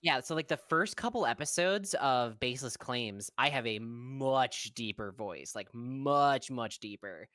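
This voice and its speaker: A young adult guest in a casual podcast chat, talking in a relaxed, rambling way with lots of fillers. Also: mid-range voice, measured pace, hardly any pauses, dry recording, clean studio sound.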